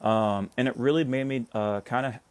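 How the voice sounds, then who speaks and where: A man's voice: medium pitch, fairly even intonation, normal speed, clear, resonant tone, dry recording. An American man hosting a casual political livestream. He rambles and swears, keeps checking in with "right?", and stops to greet commenters. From United States. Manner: reads out and answers viewer comments. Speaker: livestream host.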